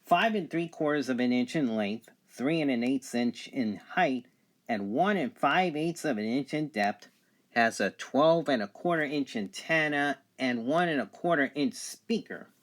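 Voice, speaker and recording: A man's voice: medium pitch, animated, unhurried. Matter-of-fact man reviewing a product. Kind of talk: unscripted product review demonstration.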